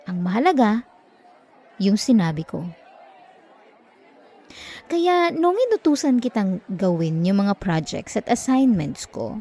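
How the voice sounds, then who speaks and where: Woman acting a possessive, high-voiced Filipino schoolgirl bully. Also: darkish tone. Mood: smug, condescending. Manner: condescending, taunting, dramatic swings from slow murmurs to exasperated bursts. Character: possessive, obsessive young female student bully, smug tsundere. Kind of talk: roleplay ASMR acting, direct address to listener.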